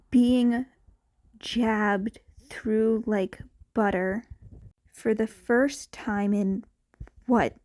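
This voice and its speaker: A woman reads a story aloud in an expressive, scripted way. Her voice is on the higher side. She takes the narration very slowly, like an amateur audiobook reader.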